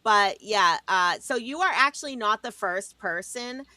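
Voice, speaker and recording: Talkative woman w/ new york-ish accent rambling on a livestream, bright voice. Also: medium pitch, expressive, measured pace, no room sound. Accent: New York-sounding. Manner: chatty, talkative rambling storyteller. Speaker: livestream co-host. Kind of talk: casual livestream chat.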